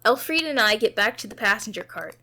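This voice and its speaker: A woman's voice: on the high side, expressive, fairly quick, sharp tone. Woman reading a story game over music. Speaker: player reading a visual novel aloud. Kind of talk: dramatic reading of narration and character dialogue. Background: background music.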